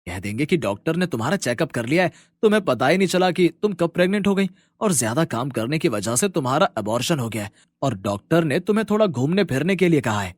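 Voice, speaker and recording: A man plays an easygoing young husband from a moral tale. His voice is fairly high and very animated, with a coaxing, scheming manner, recorded close and dry. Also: fast talker, dry recording. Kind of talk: moral story character dialogue.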